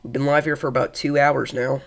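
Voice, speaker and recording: A young man with a stuffed-up, congested voice and a gravelly edge, hosting a live weather stream. He rambles casually with fillers, thanking viewers between forecast updates. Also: medium pitch, level tone, brisk. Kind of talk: live weather coverage, reading chat.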